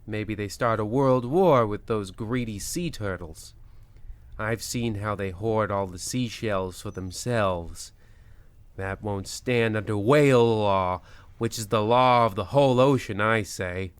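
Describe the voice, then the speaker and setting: An actor playing a rambling, wry narrator of an old-time radio comedy who keeps getting sidetracked. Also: male voice, on the low side, a little slow, clear, resonant tone, dry recording, natural voice. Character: rambling, folksy male radio-play narrator.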